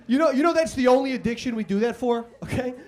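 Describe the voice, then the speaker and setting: A young man from Chicago with a Chicago accent doing a stand-up comedy set, chatting loosely and shouting out crude acted-out quotes. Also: very high-pitched, fairly quick. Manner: conversational, crude punchlines, loud acted-out quotes. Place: comedy club stage. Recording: live club audience audible. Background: audience laughter.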